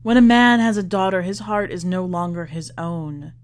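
A woman voicing a tender, exacting elderly father in a story, speaking earnestly and deliberately. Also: on the low side, steady tone, natural voice. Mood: tender, proud.

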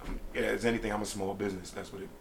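A man from Brooklyn, a rapper as a guest, talking casually in a laid-back, meandering way with many pauses. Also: on the low side, fairly even intonation, creaky, a little hiss or hum. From Brooklyn, New York. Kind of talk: casual interview chat.

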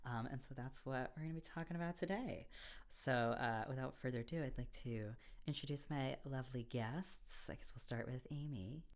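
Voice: mid-range voice, normal speed. A woman hosting a podcast, introducing guests and asking questions in a friendly, casual way. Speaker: podcast host.

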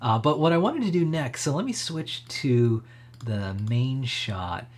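Male livestream tech host, mid-pitched and a touch husky, informal and rambling, thinks aloud while demonstrating. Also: big pitch swings, measured pace. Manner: conversational, rambling, frequent hedging asides. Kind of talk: casual live tech demo, thinking aloud.

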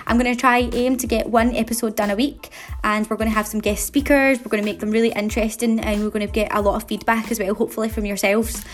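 A woman's voice: on the high side, flat delivery, quick pace. Bubbly Glaswegian woman in her twenties. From Scotland. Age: 20s. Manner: bubbly and upbeat, chatty with fillers. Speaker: podcast host. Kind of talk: solo podcast introduction.

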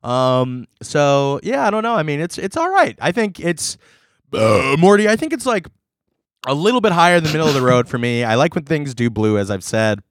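Lively, laid-back guy reviewing beer. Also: fairly high pitch, normal speed, no echo, studio quality. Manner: laid-back, rambling, swears casually. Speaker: podcast co-host reviewing beer. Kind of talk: casual banter.

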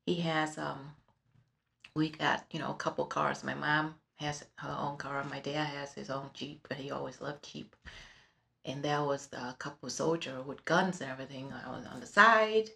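A middle-aged woman with a Vietnamese accent telling her life story in an interview, halting with many fillers and restarts, recorded in an office with background noise. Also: deep voice, fairly even intonation, vocal fry, pushed and bright. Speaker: interviewee, realtor. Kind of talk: personal life story in conversation.

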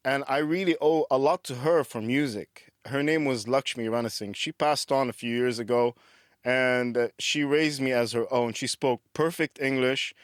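A man's voice: mid-range voice, normal speed, no echo, clean studio sound. A man in his forties from Dubai, a musician guest telling his story in a loose, rambling way. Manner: loose and rambling, laughs at himself. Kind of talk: casual rambling chat.

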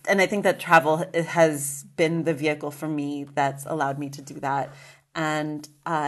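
A woman guest in a casual interview, talking earnestly with many restarts and fillers. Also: sits low. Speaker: guest, magazine editor.

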